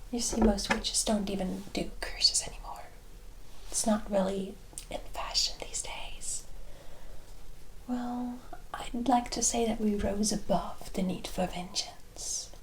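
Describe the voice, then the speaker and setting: A woman playing a teasing, worldly forest witch in a whispered ASMR roleplay, speaking in a deliberate, familiar way. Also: medium pitch, steady tone, rough recording. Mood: matter-of-fact, advising. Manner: unhurried, deliberate, familiar terms of address.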